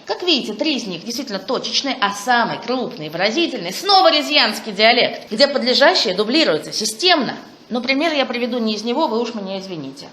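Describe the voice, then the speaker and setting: A fairly high-voiced woman presents research at a conference, talking with eager enthusiasm and little exclamations of delight. Her voice rings around an echoey room. Faint background noise sits under it. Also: sing-song, a little fast, a bit bright. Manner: enthusiastic, exclaims over findings. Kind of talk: academic talk with Q&A.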